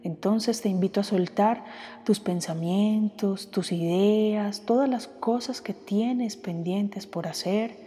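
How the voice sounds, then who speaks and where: A woman guides a meditation in a soft, mellow voice. She speaks very slowly and gently, leaving space between phrases. Her voice carries a long reverb, with music playing underneath. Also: medium pitch. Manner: unhurried, gentle, with long pauses. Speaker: meditation guide, podcast host. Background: background music.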